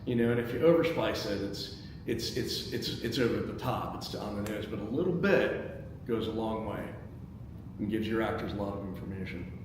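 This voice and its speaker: An American man from Chicago hosting a casual panel discussion, speaking haltingly and repeating words as he goes. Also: on the low side, expressive. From Chicago, United States. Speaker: host, screenwriter. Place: echoey indoor room at a table read event. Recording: echoey room, distant mic, clear background noise.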